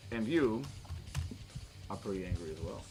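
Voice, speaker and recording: A man's voice: deep voice, animated, normal speed, darkish tone. A man acting as game master, casually narrating a tabletop role-playing game in an informal way, with some swearing. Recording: music playing behind the voice. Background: music.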